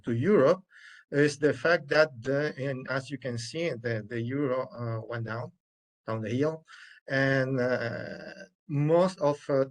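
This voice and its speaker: A man with a Chilean Spanish accent speaks on a webinar video call in a middle-pitched voice, halting and restarting often as he explains. He sounds like a panelist talking unscripted from a webcam. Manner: halting, many restarts and fillers. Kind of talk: unscripted expert answer.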